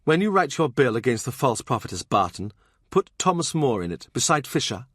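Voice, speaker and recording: A sly young queen of a historical novel, voiced by a man, haughty and cutting. The pitch is raised well up, and the sound is very dry. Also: very animated, fairly quick, a bit bright, breathy edge, no room sound. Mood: vindictive.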